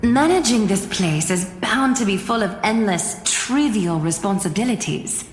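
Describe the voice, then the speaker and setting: A woman's voice: medium pitch, animated, natural voice. A woman playing a composed organizer, echoing voice. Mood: questioning, sober. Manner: measured, formal. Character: composed, dutiful female organizer, sober and practical. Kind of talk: video game voice acting. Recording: reverberant hall, music behind.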